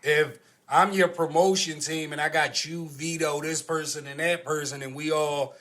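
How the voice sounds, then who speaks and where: Male podcast host, American, a bit higher than average, loose conversational sports chat. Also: fairly even intonation, normal speed, fairly bright tone. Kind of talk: casual sports talk about boxing.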